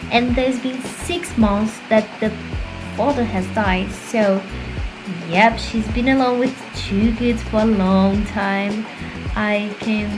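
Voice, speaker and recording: A fairly high-voiced woman whose first language is Portuguese, talking in a loose, rambling voice-over. She wanders between thoughts and is often hard on her own work. Clear background music plays under her voice. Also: rough recording. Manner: chatty, rambling, self-critical. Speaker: game building creator. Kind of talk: casual voice-over commentary.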